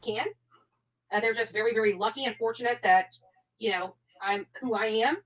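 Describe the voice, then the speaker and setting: A woman's voice: on the high side, moderate pace, a bit bright, low-quality recording. A woman chatting casually as a livestream guest, with a blunt, boastful way of talking that includes swearing.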